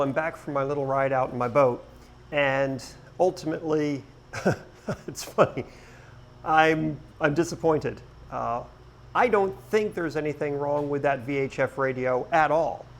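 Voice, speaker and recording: A man talking casually to camera as the host of a boating video. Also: fairly high pitch. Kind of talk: casual vlog talk to camera. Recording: noisy outdoor background sound.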